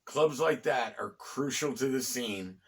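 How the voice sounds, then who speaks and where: A middle-aged American man hosting a conversational, storytelling history talk, phrasing deliberately with pauses and dropping in wry asides. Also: medium pitch. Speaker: host and storyteller of a local history series. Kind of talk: conversational bedtime-story style history narration.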